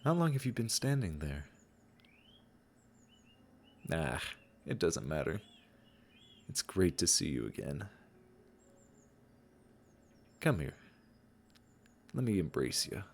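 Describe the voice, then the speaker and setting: Slow-talking gentle male garden keeper, acted by a man. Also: sits low, very animated, vocal fry, exaggerated voice. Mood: warm, delighted. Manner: soothing, unhurried, affectionate. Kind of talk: comforting roleplay audio drama.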